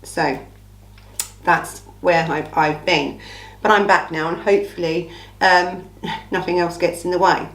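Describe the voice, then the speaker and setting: A woman from England hosting a casual true crime Q&A, her voice croaky from a cold, talking in a blunt, chatty, opinionated way. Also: on the low side, fairly even intonation, unhurried, echoey room, far from the mic, poor audio. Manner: chatty, blunt, opinionated, says "listen" often. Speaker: true crime channel host. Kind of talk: casual Q&A monologue.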